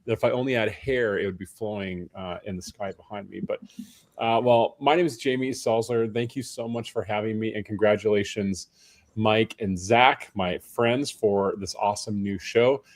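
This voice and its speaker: A tired, hoarse man speaking as a panel guest, chatting in a friendly, self-deprecating way with frequent restarts. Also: lowish voice, normal speed. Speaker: panel guest, personal trainer. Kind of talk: casual panel conversation.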